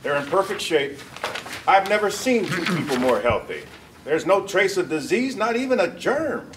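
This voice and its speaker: A man's voice: high-pitched, very animated, normal speed. A man playing an earnest, puzzled medical investigator in a sci-fi comedy table read, recorded from a distance. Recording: distant mic, room noise.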